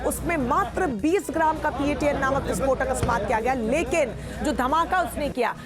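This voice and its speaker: High-pitched woman news anchor. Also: normal speed, a loud crowd. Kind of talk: live breaking-news presenting. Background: news music bed.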